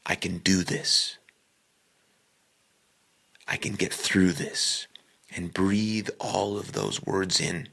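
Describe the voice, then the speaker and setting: A man leading a guided meditation in a calm, deliberate way, with a breathy, husky voice and long pauses between short phrases. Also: low voice.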